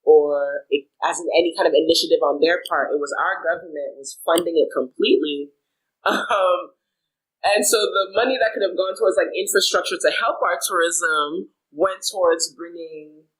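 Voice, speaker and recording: A woman's voice: fairly low pitch, normal speed, rough recording. Woman in her late twenties, native French speaker, raised in Ghana, chatty, laughs easily, phoned in. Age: 20s. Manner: chatty, laughs often, many fillers. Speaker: guest musician. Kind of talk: casual phone-in chat. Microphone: phone call.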